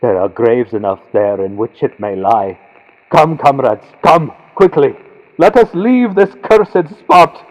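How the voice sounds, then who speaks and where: A man's voice: medium pitch, expressive, slow, big-room sound, voice pushed up. A man voicing a terrified soldier who frantically urges his comrades to flee, in an audiobook horror story with a vintage radio sound. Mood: terrified, urgent. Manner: frantic, urgent, exclaiming. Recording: lo-fi built-in computer mic, hissy vintage-radio sound.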